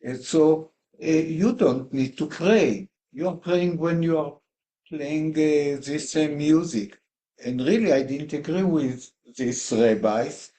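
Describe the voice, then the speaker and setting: A man whose first language is Hebrew, sharing a personal reflection in a class discussion, hesitant with many pauses and fillers. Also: a little high, mellow. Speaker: class participant.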